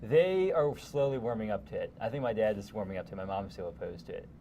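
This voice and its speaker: Male interview guest, mid-twenties, from California, low voice, quick conversational delivery, noticeable background noise. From California, United States. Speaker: interview guest, congressional candidate.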